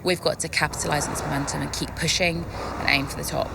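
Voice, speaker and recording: A young, competitive racing driver in a game cutscene. Her voice is slightly low, assured and earnest, set against clear vehicle noise. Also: fairly even intonation, quick pace. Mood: determined. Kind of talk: acted media interview.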